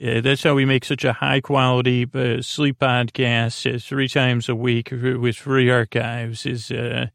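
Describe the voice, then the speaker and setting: A man hosting a bedtime podcast in a creaky voice, meandering and halting, often stammering and repeating himself in a soothing, friendly way. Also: mid-range voice, little pitch movement, fairly bright tone, no room sound, clean studio sound. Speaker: podcast host. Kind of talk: rambling bedtime monologue.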